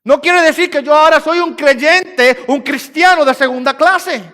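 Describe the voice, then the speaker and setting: Puerto Rican male pastor, high-pitched, emphatic repeating delivery, long church reverb. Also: moderate pace, pushed and bright. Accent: Puerto Rican Spanish. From Puerto Rico. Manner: repeats phrases for emphasis, rising and falling in force. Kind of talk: sermon. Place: church sanctuary.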